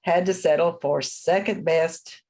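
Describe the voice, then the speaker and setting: A woman's voice: low voice, steady tone, a little mellow. A woman playing an elderly small-town local in a radio play, telling old lore in a folksy, matter-of-fact way. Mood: matter-of-fact, gossipy. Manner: folksy, unhurried storytelling.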